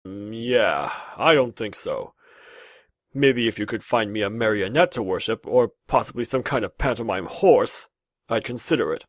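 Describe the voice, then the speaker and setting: A man plays an exasperated warrior leader in a comic cartoon. His voice is slightly low and lively, heavy on sarcasm, recorded dry and close. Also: moderate pace, dry recording, close to an everyday voice. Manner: sardonic. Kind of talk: animated comic fandub acting.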